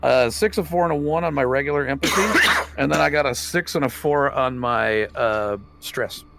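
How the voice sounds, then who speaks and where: A man with a noticeably creaky, gravelly voice plays a tabletop roleplaying game. He talks casually and informally, slipping between table talk and speaking as his character. His pitch sits in an ordinary male range. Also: normal speed, fairly bright tone.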